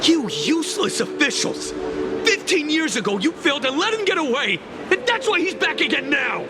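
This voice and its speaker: A man's voice: extremely high pitch, sing-song, moderate pace, noisy background. An actor playing a furious, accusing male citizen ranting in a video game. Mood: outraged. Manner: angry, ranting.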